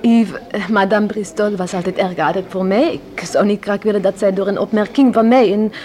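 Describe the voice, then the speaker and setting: A woman's voice: mid-range voice, steady tone, fairly quick, warm tone, natural voice. An actress playing a polite young Belgian woman with a French accent in a radio drama, speaking courteously and a little hesitantly. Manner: hesitant, courteous, peppers speech with French words. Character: polite young Belgian shop assistant turned stewardess.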